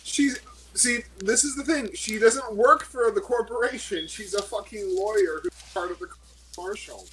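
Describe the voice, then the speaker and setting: A man with a notably high voice talks as a player in a tabletop game. He pushes back on teasing with casual exasperation. A faint hiss runs under the recording. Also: moderate pace, fairly bright tone, rough recording. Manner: defensive, exasperated. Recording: faint background noise.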